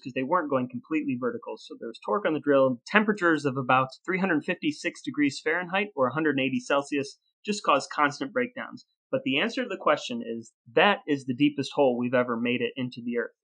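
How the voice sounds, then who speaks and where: A man hosting a podcast, telling stories and explaining in a deliberate, playfully grandiose presenter's delivery. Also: medium pitch, expressive, fairly bright tone, poor audio. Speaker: podcast host. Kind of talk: scripted storytelling and conversational explaining.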